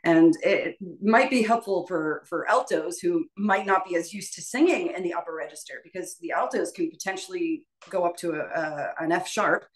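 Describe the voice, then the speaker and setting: A woman's voice: fairly low pitch, normal speed, few pauses, rough recording. A woman giving informal spoken instruction as a voice teacher, tentative and self-deprecating, often trailing off. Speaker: voice teacher leading a workshop.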